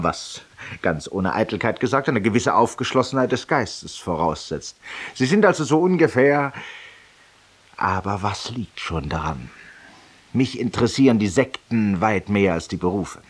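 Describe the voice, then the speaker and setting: An actor playing an urbane, talkative, self-mocking judge-penitent and tavern regular, with polished, ironic rhetoric in a 1950s German radio drama. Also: male voice, on the low side, big pitch swings, moderate pace, husky. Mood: suave, ingratiating. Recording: distant-sounding 1950s radio recording.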